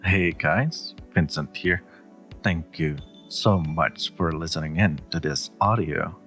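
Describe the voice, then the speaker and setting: A man's voice: extremely low pitch, slow, a bit bright, faint music behind. A man speaking as a channel host, addressing his listeners in an outro.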